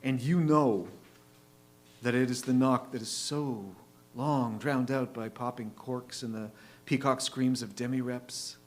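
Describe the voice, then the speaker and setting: A man giving a deliberate, theatrical recitation of poetry as a reader at a readers' theatre. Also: medium pitch, fairly even intonation. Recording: noticeable hum and background noise.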